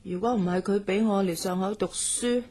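A woman playing an outspoken, loyal young student in a radio drama, expressive and exclamatory. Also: mid-range voice, moderate pace, lightly acted. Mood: chatty, confiding.

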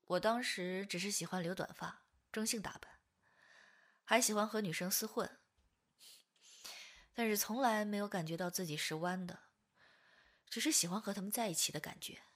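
A woman's voice: mid-range voice, normal speed, pauses often, natural voice. A woman acting in a Chinese audio drama as a tender, wounded young woman confessing a past love. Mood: wistful narrating.

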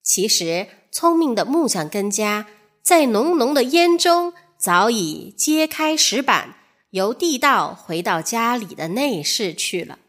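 Female children's storyteller, high-pitched, very unhurried, expressive fairy tale delivery. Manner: expressive, addresses young listeners. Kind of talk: scripted fairy tale narration.

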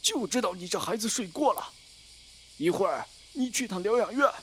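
An actor playing a kindly old village grandpa who talks in an unhurried, familiar way in an audio drama. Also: male voice, high voice, animated, breathy edge. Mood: fond, nagging. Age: older.

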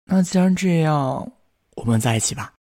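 A man's voice: a little high, animated, moderate pace, darkish tone. A man playing a young boyfriend in a romantic radio drama, speaking tenderly as he courts his sweetheart. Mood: tender, amused. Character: young boyfriend gently confessing his love.

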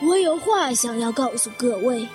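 A woman's voice: fairly high pitch, animated, moderate pace, natural voice. A woman playing a solemn, formal bearer of grave news in an audio drama, speaking with deliberation. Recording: music behind the voice.

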